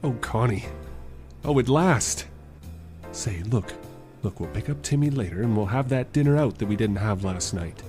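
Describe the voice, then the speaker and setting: A man's voice: fairly low pitch, animated, normal speed, darkish tone, faint music behind, close to an everyday voice. A man playing a mild, affectionate suitor in an old-time radio drama, earnest and old-fashioned in delivery. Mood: delighted, playful.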